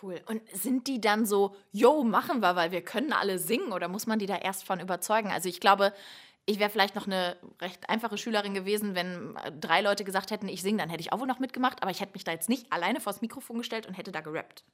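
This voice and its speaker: A woman's voice: medium pitch, fast talker. Female interview host, mid-range voice, chatty and upbeat, casual with fillers. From Germany, partly Dutch family.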